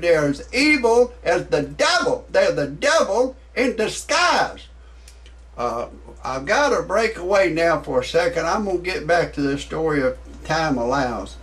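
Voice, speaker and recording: A man's voice: high voice, sing-song, measured pace, fairly bright tone, recorded from a distance. Blustering man in his sixties. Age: 60s. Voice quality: profane, blustering. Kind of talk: ranting stand-up monologue.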